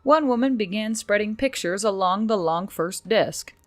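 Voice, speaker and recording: Woman reading a fantasy audiobook, medium pitch, dry close sound. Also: expressive, moderate pace, dry recording, faint music behind. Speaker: audiobook narrator. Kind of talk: scripted fantasy fiction narration.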